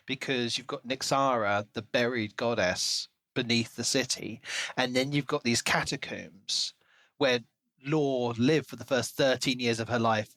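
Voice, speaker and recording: Man, crisp bright tone, medium pitch, casual book chat, podcast co-host, dry recording. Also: dry recording.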